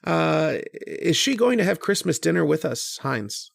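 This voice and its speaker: An actor playing an earnest, kindly struggling writer who narrates an old-time radio horror drama in a reflective, hesitant way. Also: male voice, fairly high pitch, sing-song, a little slow, vocal fry, husky, no room sound, studio quality, full character voice. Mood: earnest, eager.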